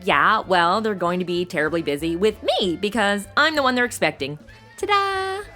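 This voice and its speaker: A woman's voice: medium pitch, very animated, moderate pace, sharp tone, faint music behind. A woman playing a brash, sarcastic young temp posing as a showgirl, talking in blunt modern slang in a noir comedy audio drama. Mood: cheeky.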